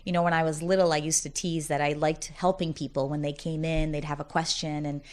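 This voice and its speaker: Brief: woman in her forties, low and upbeat, a talkative college dean telling her story. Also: flat delivery, fairly quick, darkish tone. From United States, Massachusetts. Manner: talkative, upbeat storyteller who often laughs while talking. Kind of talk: casual interview conversation.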